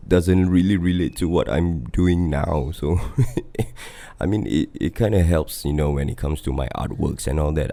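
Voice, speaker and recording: Very deep-voiced Singaporean man. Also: warm tone, no echo. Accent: Singaporean English. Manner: rambling, frequent fillers and swearing, laid-back. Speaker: podcast host and band vocalist. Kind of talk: casual solo podcast monologue.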